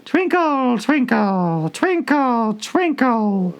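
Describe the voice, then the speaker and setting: Storybook dormouse: very high and squeaky, small, sleepy, feeble, hoarse. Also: male voice, very animated, drawn-out words, heavily acted. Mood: sleepy singing.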